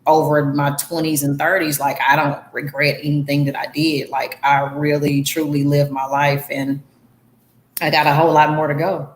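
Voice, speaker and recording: Forty-something woman, real low voice, says it straight, echoey with faint hum behind. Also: little pitch movement, moderate pace. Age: 40s. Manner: candid, plainspoken. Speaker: guest. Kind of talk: casual chat.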